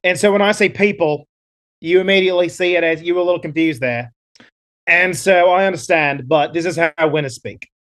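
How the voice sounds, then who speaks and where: A man's voice: sits high, a little fast, fairly bright tone. A man playing a boastful Australian adventurer, rambling with lots of fillers in a put-on Australian accent. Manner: bragging, rambling, many fillers. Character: boastful male Australian adventurer, self-assured. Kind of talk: improvised comedy character.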